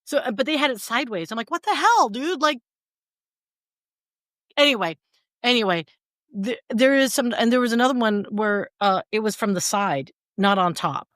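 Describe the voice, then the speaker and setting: A woman's voice: a little high, animated, normal speed, a bit bright, dry recording, clean studio sound. A woman co-hosting a casual podcast, blunt and jokey, with crude asides, speaking into a desk mic. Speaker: podcast co-host. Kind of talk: casual chat. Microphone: desk mic about seven inches away.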